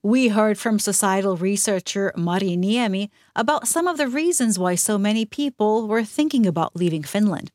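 Female podcast co-host, mid pitch, dry studio sound, even scripted read. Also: normal speed, few pauses, no echo. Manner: clear, even, scripted reading. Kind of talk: scripted news review.

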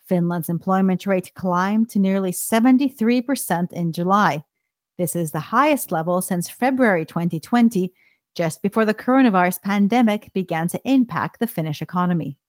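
Woman news co-host, mid-pitched, crisp even reads, dry studio sound. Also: moderate pace, no echo. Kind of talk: scripted news reading and casual banter.

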